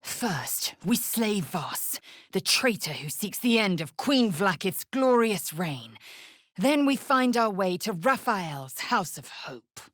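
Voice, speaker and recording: Fantasy game role: fierce, scornful alien warrior woman. Measured, husky, clipped and imperious. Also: medium pitch, sing-song, fairly bright tone, no room sound, clean studio sound, heavily acted. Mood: solemn resolve. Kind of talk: scripted fantasy video game acting.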